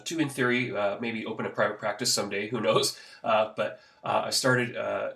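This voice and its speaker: A man in his fifties from the Pacific Northwest, a school counselor, chatting in an interview at an easy, rambling pace with many "uh" pauses. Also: fairly low pitch, creaky, fairly bright tone. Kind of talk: conversational interview.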